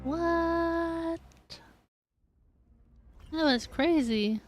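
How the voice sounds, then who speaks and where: A woman's voice: very high voice, drawn-out words, faint music behind. A woman game streamer giving casual live commentary in an informal, amused way.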